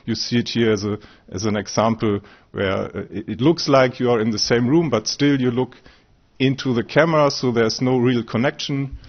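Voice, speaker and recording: A man's voice: mid-range voice, steady tone, moderate pace, dull audio. Hesitant Austrian man presenting research. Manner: hesitant, many fillers and restarts. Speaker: conference speaker, researcher. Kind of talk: conference presentation. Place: conference room.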